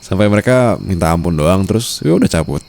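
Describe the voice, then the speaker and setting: A man with an Indonesian accent acting a smitten, protective mafia boss in an intimate ASMR boyfriend roleplay, murmuring softly and teasingly. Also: low voice, animated, fairly quick, gravelly. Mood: playful, nonchalant. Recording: faint night-time insect ambience noise.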